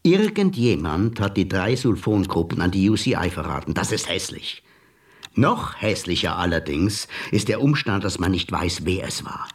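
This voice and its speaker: An actor playing a cool, mocking male blackmailer in a radio play, smooth and unhurried. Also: deep voice, very animated, recorded from a distance. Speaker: blackmailing hacker visitor. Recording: voice set back from mic, music behind.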